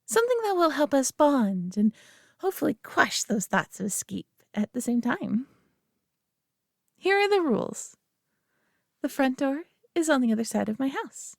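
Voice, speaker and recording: A woman playing a prideful, possessive alien being in a roleplay audio drama, talking down to the listener with coaxing, deliberate care and dropping into whispers. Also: on the high side, creaky, dry recording, studio quality, exaggerated voice. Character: prideful, possessive female superior alien being, condescendingly caring.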